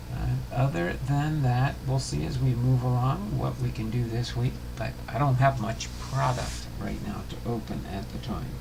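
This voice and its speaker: A man's voice: medium pitch, gravelly, noisy background. A man hosting a casual livestream about sports cards, rambling and thinking aloud as he goes. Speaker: livestream host of a baseball card channel.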